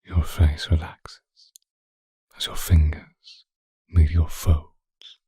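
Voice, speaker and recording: Man playing a very deep, breathy seductive vampire. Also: level tone, no room sound, studio quality, full character voice. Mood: seductive, possessive. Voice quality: very deep, breathy, husky, often whispering. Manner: slow, deliberate, hushed, formal wording. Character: seductive male vampire stalker, obsessive and predatory. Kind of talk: ASMR roleplay monologue, intimate and close. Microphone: close microphone, dry sound.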